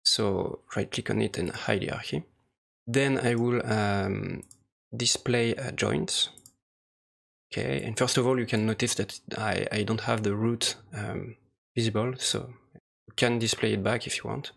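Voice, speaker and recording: A man presenting an unscripted software tutorial, speaking hesitantly in short, halting phrases. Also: fairly low pitch, fairly even intonation, creaky. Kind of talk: unscripted screen-recorded tutorial walkthrough. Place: voice-over for a screen recording.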